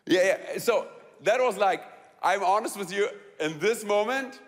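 A man's voice: sits high, expressive. German-accented man, echoing hall. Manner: halting, repeats words, laughs often, quizzes the audience. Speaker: speaker telling a personal story. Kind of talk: live storytelling talk to an audience.

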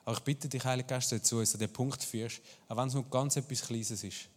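A man's voice: medium pitch, fairly even intonation, quick pace, long reverb tail. A man preaching a sermon and leading a guided prayer, earnest and searching, with small restarts.

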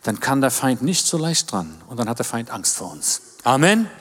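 A man in his fifties, born in Germany and raised in Israel, preaching a sermon as a guest speaker. He talks animatedly, rising in pitch for emphasis and calling for amens. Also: big pitch swings, moderate pace, a bit bright, slightly rough. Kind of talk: sermon to a congregation. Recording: long reverb of a church hall.

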